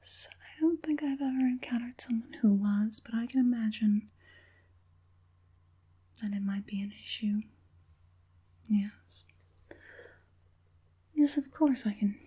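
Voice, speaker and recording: A woman voicing a sly, falsely soothing evil witch puppet master in a breathy, coaxing whisper for an ASMR roleplay. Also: medium pitch, mellow. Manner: coaxing, unhurried, sinisterly sweet.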